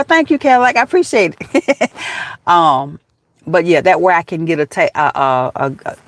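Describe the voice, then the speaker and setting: A woman's voice: fairly low pitch, dry recording. A woman in her fifties from Georgia with a Southern accent, chatting casually with viewers on a live stream, laughing often and calling them baby and honey. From Georgia, USA. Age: 50s.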